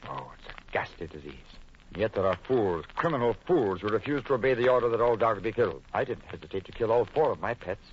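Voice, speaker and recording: A man's voice: on the low side, animated, fast, close to an everyday voice. A man acting an uneasy, secretive French expatriate in old radio horror, speaking formally and dramatically, faltering when pressed. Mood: grave, urgent. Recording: muffled old radio broadcast, faint hiss.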